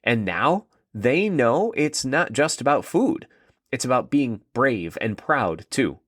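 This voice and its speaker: A man's voice: medium pitch, big pitch swings, slow pace, fairly bright tone, no echo, clean studio sound, full character voice. A man voicing an eager, proud young schoolboy in a children's story, earnest and enthusiastic.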